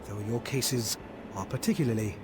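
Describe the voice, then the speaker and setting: A man's voice: mid-range voice, moderate pace, noisy background, close to an everyday voice. A man playing a courtly, concerned leader in a fantasy game, with a formal, stately delivery. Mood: concerned, relieved. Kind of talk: scripted fantasy video game dialogue.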